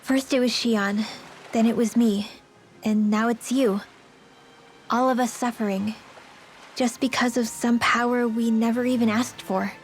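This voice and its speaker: A woman's voice: on the high side, fairly even intonation, a little slow, long pauses, a little hiss or hum, close to an everyday voice. A woman voicing a caring, orphaned young mage girl in an English video game dub, speaking casually and candidly. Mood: earnest, encouraging. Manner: casual, candid, gently encouraging.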